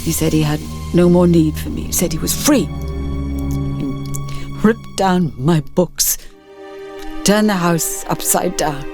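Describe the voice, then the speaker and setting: A woman voicing a frail old man, haunted and broken, in an audiobook with a halting, anguished delivery. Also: lowish voice, music in the background, natural voice. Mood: fearful.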